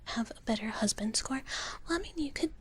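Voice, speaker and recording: A woman speaking in a soft, breathy whisper close to the microphone, chatting casually and playfully as a livestream host and often repeating her phrases. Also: high voice, lively intonation, fairly quick. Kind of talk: live ASMR whispering, casual chat with viewers. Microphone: close ASMR microphone.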